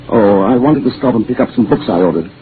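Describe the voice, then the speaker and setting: An actor playing a mild, henpecked middle-aged professor in a vintage radio drama, hesitant and apologetic. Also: male voice, lowish voice, lively intonation, quick pace, lightly acted. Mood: meek, apologetic. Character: meek, absent-minded middle-aged professor, henpecked husband. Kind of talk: 1940s radio drama acting. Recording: noisy, phone-like old radio broadcast.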